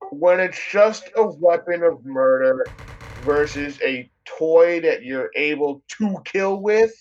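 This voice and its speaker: A man's voice: fairly high pitch, expressive, slow pace, darkish tone, lo-fi sound. A man streaming, chatting casually in a rambling, heavily profane way. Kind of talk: casual chat on a live stream.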